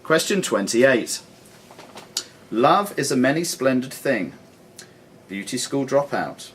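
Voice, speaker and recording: A man acting as quizmaster, reading questions aloud in a deliberate, formal announcing way in a club room. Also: medium pitch, expressive. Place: sports and social club room. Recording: noisy live recording in club room.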